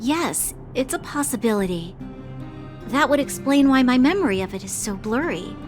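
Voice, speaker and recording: Game casting: earnest young noblewoman, heir to rule, higher register, formal and troubled, unsure of herself, music underneath. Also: lively intonation, normal speed. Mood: pensive. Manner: formal, hesitant, introspective. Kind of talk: video game voice acting. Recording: music behind the voice.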